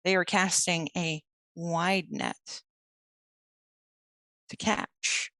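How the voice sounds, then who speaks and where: A woman narrating as storyteller for a tabletop werewolf game, setting scenes slowly and deliberately. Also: on the low side, steady tone, fairly bright tone, no room sound.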